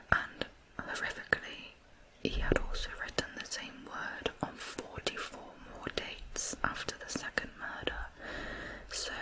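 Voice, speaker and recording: Asmr true crime lady, all breathy whispers, really slow and soft storytelling. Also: low-quality recording.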